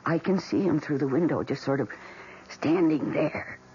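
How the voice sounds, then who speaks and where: An actress with an American accent playing a lonely, timid middle-aged housewife in a radio drama, pleading hesitantly and earnestly. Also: female voice, deep voice, moderate pace, mellow, natural voice. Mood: nervous, hesitant. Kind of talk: vintage radio drama acting. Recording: dull, noisy old radio recording.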